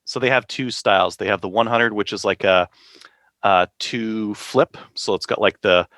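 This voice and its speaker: A man's voice: on the low side, moderate pace, fairly bright tone, dry recording, studio quality. A man, co-hosting a podcast and talking casually and informally with slangy phrasing. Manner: informal, conversational, uses slang. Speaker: podcast co-host. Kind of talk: casual hobbyist chat.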